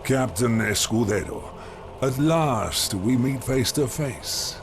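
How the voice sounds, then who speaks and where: A man's voice: medium pitch, expressive, slow, background noise. A man playing a sinister, manipulative fanatical priest in a video game, speaking in a theatrical, taunting manner. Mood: smug, measured. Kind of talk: dramatic video game villain dialogue. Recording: loud music under the voice.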